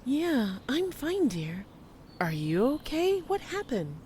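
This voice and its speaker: A woman playing a gentle, grateful mother of a grown daughter in an audio drama. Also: sits high, very animated, takes it slowly, a little hiss or hum, full character voice. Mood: tender.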